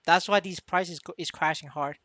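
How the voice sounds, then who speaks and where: Male gaming streamer, bright sharp tone, dry recording, stammering and repetitive, casual and sweary. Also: fairly high pitch, steady tone, moderate pace, no echo. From Vietnamese. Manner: stammering, repeats words, swears freely. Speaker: game streamer. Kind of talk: casual livestream chat.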